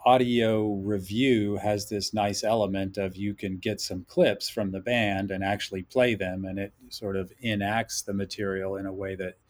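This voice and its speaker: Man, lowish voice, measured, thoughtful, hedging, podcast guest. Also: fairly even intonation. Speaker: guest, instructor. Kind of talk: podcast interview talk.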